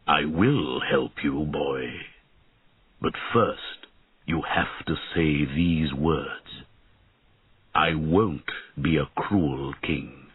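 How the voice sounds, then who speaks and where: Male reader voicing a solemn ancient dragon, slow and low, husky. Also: no room sound, heavily acted. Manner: slow, grave, commanding. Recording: tinny lo-fi audio.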